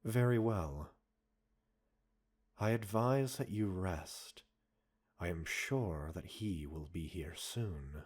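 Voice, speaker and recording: Guy doing a cold villain scientist for audio roleplay, real slow and raspy, condescending, possessive, quietly menacing. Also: sits low, animated, heavily acted. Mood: cold, controlled. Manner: slow, measured, mocking, formal speech. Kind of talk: dramatic audio roleplay acting addressed to listener.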